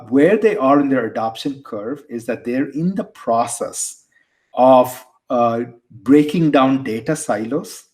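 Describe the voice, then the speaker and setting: A man's voice: mid-range voice, a little mellow. A man with an Indian accent, a guest on an interview podcast, explaining things conversationally and often repeating words as he restarts. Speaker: guest, tech executive.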